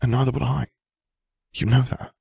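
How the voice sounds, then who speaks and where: A man as a gentle, placating young man. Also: mid-range voice, level tone, normal speed, creaky, breathy edge, no room sound, clean studio sound, pitched above the performer's own voice, heavily acted. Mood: earnest. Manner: soft, reassuring.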